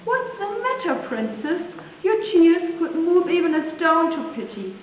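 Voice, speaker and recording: A woman's voice: very high voice, very animated, a little slow, pitched above the performer's own voice, heavily acted. A woman voicing an ugly male frog, an insistent and pleading storybook suitor who calls out his demands. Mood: coaxing. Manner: calling out, demanding, repetitive. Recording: very echoey hall, tinny sound with hiss.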